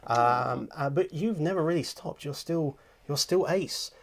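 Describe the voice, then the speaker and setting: A man's voice: fairly high pitch, unhurried, a little hiss or hum. Chatty British man presenting an interview. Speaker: presenter, audiobook producer and director. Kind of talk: casual one-on-one interview chat.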